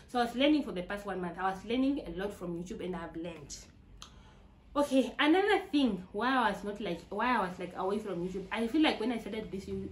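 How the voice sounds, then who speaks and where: A young Namibian woman talking casually to her viewers about her channel, chatty and repetitive, with many "like" fillers; English is her second language. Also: mid-range voice. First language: not English. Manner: chatty, repeats herself, frequent "like" fillers. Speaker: YouTuber. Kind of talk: casual talk to camera. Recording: echoey room.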